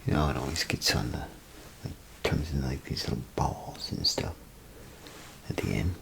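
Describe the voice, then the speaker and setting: A man doing a soft-spoken ASMR role play as a bathroom attendant, rambling casually and unhurriedly to the listener in a breathy, gravelly half-whisper. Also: a bass voice, expressive, a little hiss or hum. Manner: unhurried, casual, folksy muttering with pauses.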